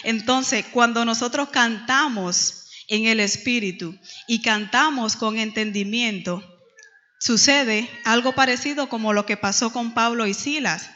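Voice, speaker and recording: Middle-aged Dominican woman, Bible class teacher; bright tone, reverberant hall, muffled recording. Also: a little high, measured pace. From Dominican Republic. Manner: storytelling with many hesitations. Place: church hall. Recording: dull lo-fi church recording with long reverb.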